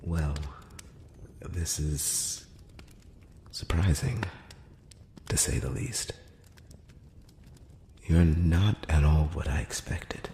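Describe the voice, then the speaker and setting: Elf emissary for fantasy ASMR roleplay, played by a man: extremely low, slow, hushed and breathy, solemn and courteous. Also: full character voice. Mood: gentle, curious. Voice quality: breathy whisper mixed with very low murmur. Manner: hushed, halting, measured, earnest. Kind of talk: close-up whispered ASMR roleplay. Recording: roomy long reverb, faint noise.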